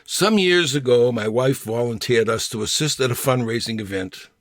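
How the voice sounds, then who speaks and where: A man reading his own humorous essay in a deliberate, deadpan manner. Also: medium pitch, no room sound, clean studio sound.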